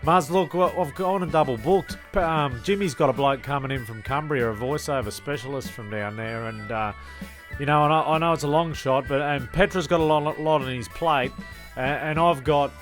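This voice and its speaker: A man's voice: a little high, normal speed, faint music behind, lightly acted. A man with an Australian accent playing a breezy, placating scriptwriter who chats matily in a comedy radio play. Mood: explaining. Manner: chummy, matey, stumbling explanations. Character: breezy, placating scriptwriter managing his cast.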